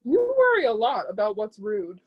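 A woman's voice: medium pitch, wildly swooping pitch, moderate pace, highs cut off. An actress playing a cool, curt, cagey and sardonic young woman in a stage-play reading. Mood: cool, evasive. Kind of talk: readers theatre stage-play acting.

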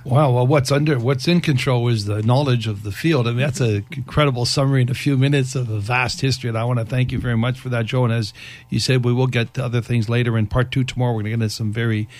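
A man hosts a radio talk show and talks fast, in a brisk and friendly way. His voice sits at a middle pitch and sounds dry, recorded close in a studio. He thanks his guests and moves the show along. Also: fairly even intonation, darkish tone, dry recording.

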